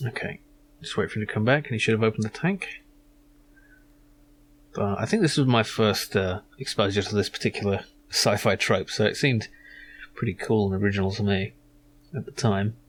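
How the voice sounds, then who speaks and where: A man with a fairly low voice hosts a casual game playthrough. He muses and hesitates as he explains things. A faint hum sits behind his voice. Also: moderate pace, pauses often. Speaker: gaming video host.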